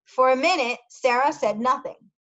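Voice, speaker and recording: Higher-pitched woman reading aloud, muffled audio. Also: normal speed, bright, forceful tone. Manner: careful, repeats and explains key words. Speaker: literacy tutor reading aloud. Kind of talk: slow read-aloud of a story for English learners, with brief Spanish glosses.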